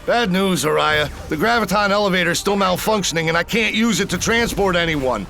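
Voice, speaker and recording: High, creaky anxious male operator. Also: lively intonation, a little fast, pushed and bright, exaggerated voice. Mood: worried. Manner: urgent, worried, technical. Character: anxious male tech operator aiding a soldier by radio. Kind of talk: video game cutscene acting. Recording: music behind the voice. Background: music.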